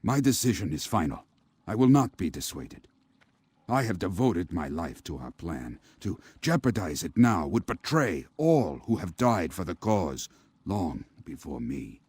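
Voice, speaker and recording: A man voicing a weary, resolute old alien seer in a fantasy video game, raspy and solemn. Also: sits low, big pitch swings, a little mellow, no echo, full character voice. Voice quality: raspy, gravelly. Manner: slow, solemn, formal.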